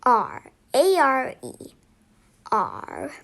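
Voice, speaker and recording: A female presenter teaches spelling to children. She speaks very slowly in a fairly high voice, with lively pitch, giving words one at a time. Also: creaky. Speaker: sight word presenter.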